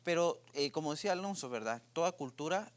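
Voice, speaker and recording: A man's voice: on the high side, expressive, fast. Guatemalan guy hosting a church youth podcast, lots of ums, keeps restarting. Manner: hesitant, many fillers and restarts. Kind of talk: casual faith discussion.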